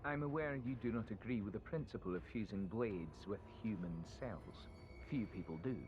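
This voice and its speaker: A man's voice: lowish voice, normal speed, dull audio, background noise, natural voice. A man playing a deferential, somewhat nervous military engineer in a video game, formal and dutiful. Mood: uneasy. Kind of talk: video game cutscene acting.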